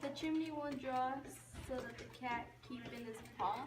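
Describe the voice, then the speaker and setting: A woman's voice: high-pitched, moderate pace, natural voice. A woman playing a fussy, scheming cottage sister in an amateur rhyming puppet show. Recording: distant mic, clearly noisy, clicks.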